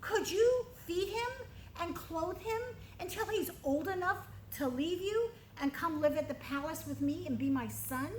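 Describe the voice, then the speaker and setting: A woman's voice: extremely high pitch, measured pace, a little hiss or hum, pitched above the performer's own voice. A woman voicing a bossy, decisive young princess who gives eager commands. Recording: roomy echo.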